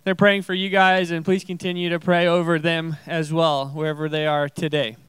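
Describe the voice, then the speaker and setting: A man's voice: on the high side, level tone, normal speed, a bit bright, clean tone, no echo. A young man from Zimbabwe, a missionary guest speaker, giving an informal testimony to a church congregation.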